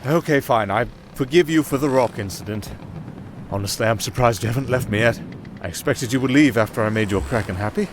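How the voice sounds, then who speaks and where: A man's voice: medium pitch, a little fast, a little hiss or hum, lightly acted. A man playing a roguish, wry musician turned makeshift captain in an ASMR fantasy roleplay, chatting to a companion. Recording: clear outdoor sea ambience behind.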